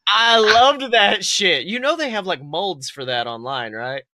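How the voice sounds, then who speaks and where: A young man co-hosting a podcast in casual comedic chat, riffing in an animated, profane way. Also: high voice, unhurried, over a noisy crowd. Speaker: podcast co-host.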